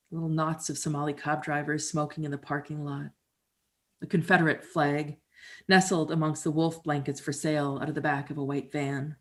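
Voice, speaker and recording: A middle-aged woman from Canada, performing a theatrical storytelling monologue in a wry, conversational, expressive way. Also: sits low.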